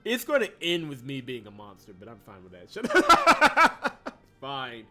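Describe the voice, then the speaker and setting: A man's voice: a little high, measured pace. A man streaming a story game, chatting casually with his chat and laughing often. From Georgia, US. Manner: chatty and animated, laughs often, reads game text aloud. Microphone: desk mic. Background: game soundtrack music.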